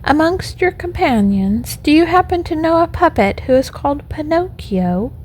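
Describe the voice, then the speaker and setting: A woman voicing a large, helpful male pigeon in a storybook, with a measured, matter-of-fact manner. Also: high voice, animated, voice pushed up, low-quality recording. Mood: inquiring. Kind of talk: storybook character voice.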